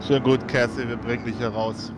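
A man's voice: mid-range voice, flat delivery, brisk. A man talking casually as a player in a tabletop role-playing game. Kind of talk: casual in-character game chat. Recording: music bed under voice.

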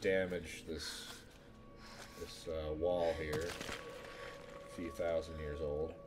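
A man doing casual, joking game livestream commentary in a deadpan manner. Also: a bass voice, drawn-out words. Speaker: video game streamer. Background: video game music.

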